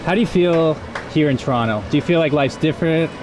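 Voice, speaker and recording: A man's voice: a little high, fast talker. A man hosting street interviews, upbeat and encouraging, often saying "wow.". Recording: street noise behind. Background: street noise.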